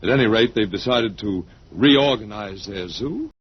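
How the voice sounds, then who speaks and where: Low, husky, wry academic captive. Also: male voice, animated, normal speed. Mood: wry, detached. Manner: sardonic, lecturing, hesitant asides. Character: wry, resigned, detached academic man held captive. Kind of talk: 1950s radio drama acting. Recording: dull, lo-fi old radio recording.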